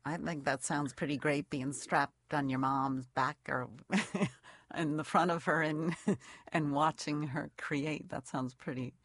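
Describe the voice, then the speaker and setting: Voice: mid-range voice, fairly even intonation, takes it slowly, a bit bright, no echo. An interviewer asking questions, often stumbling and repeating words at the start.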